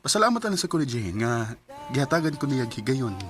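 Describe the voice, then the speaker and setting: A man's voice: medium pitch, big pitch swings, a little fast, fairly bright tone, soft background music. A man with a Cebuano accent acting in a Bisaya radio drama, playing the family's giver and narrating his story with emotional, pleading outbursts. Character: young man, family's giver, hurt and resentful.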